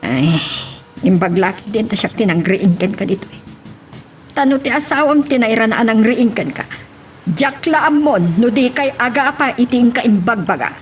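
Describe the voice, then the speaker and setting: Actress as nagging middle-aged mother, fairly high voice, meddling, radio drama style, music behind. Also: normal speed, close to an everyday voice. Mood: scolding.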